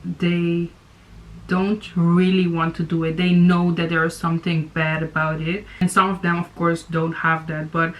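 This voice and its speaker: A woman's voice: fairly low pitch, little pitch movement, measured pace, rough recording. A young woman hosting a reaction video, talking casually with many fillers and restarts. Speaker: YouTube reaction host.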